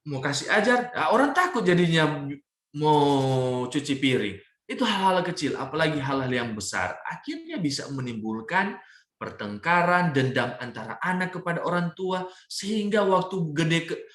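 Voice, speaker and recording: A man with a Manado Indonesian accent gives a religious health talk as a doctor, emphatic, slowing on key phrases and often adding small check-in words. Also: fairly high pitch, sing-song, a bit bright. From Manado, North Sulawesi, Indonesia. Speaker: doctor giving a health testimony. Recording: roomy, echoing sound.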